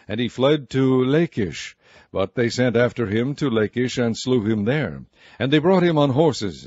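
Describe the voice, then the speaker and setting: A man reading scripture aloud in a measured, formal way. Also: medium pitch, darkish tone, no room sound, muffled recording. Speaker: Bible reader.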